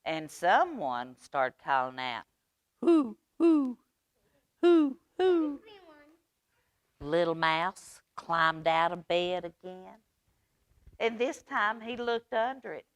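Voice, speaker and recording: A woman reading aloud for children's storytime in an expressive, drawn-out manner. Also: fairly low pitch, clean tone.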